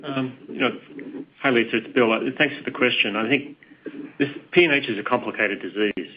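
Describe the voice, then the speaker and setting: A man speaking as a company executive, giving measured, careful answers on an earnings call. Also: medium pitch, noisy background. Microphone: conference call line. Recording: slightly dull conference-call audio.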